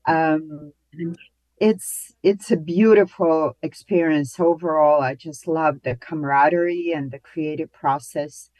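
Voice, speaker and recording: A woman stage actor talking about her role, animated, pausing often. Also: low voice, no room sound, rough recording. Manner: animated, with laughs and pauses. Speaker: stage actress.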